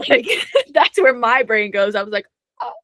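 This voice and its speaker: A woman's voice: fairly high pitch, sing-song, a little slow, fairly bright tone, no echo, highs cut off. A young adult woman chatting as an interview guest, self-deprecating and laughing often. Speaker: guest actor. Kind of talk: casual interview chat.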